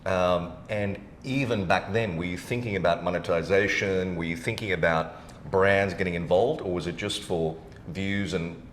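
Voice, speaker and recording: A man with a deep, low voice hosts a casual podcast interview. The room adds noticeable echo, and there is a faint rumbling noise behind him. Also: fairly even intonation, moderate pace, fairly bright tone. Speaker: interview host.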